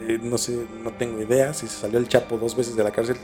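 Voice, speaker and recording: A man with a Mexican accent telling a story casually as a podcast host, informal and halting as he searches for words. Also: mid-range voice, flat delivery, warm tone, soft background music.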